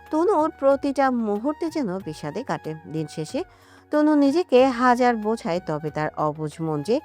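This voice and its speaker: High, swooping woman narrating a love story. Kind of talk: dramatic scripted narration of a romance story. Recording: music under the voice.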